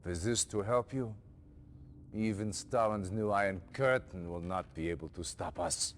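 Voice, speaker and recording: An actor playing a stern middle-aged allied general who briefs a commander with clipped military orders in a game cutscene. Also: male voice, lowish voice, moderate pace, long reverb tail, a little hiss or hum, lightly acted. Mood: commanding.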